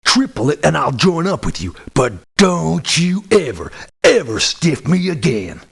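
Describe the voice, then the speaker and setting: Gravelly, hot-tempered mercenary. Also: male voice, very animated, measured pace, heavily acted. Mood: irritated. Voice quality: gravelly, husky. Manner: blunt, cranky, folksy swearing. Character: gruff, hot-tempered, blunt mercenary man for hire. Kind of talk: video game character voice lines. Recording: low sample rate game audio clips.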